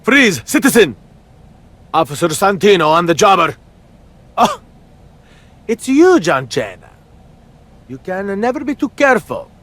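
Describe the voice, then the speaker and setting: A man's voice: high-pitched, big pitch swings, measured pace, long pauses, fairly bright tone, exaggerated voice. A man voicing a goofy, self-important wrestler who plays policeman in a video game, theatrical and mock-heroic. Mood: pompous, excitable. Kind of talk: video game cutscene acting.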